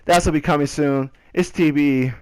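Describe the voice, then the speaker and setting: A young man telling a story casually over gameplay, in a slangy, animated way with repeats and quotes of himself. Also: on the high side, brisk, clear, resonant tone, no room sound. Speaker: gaming video creator.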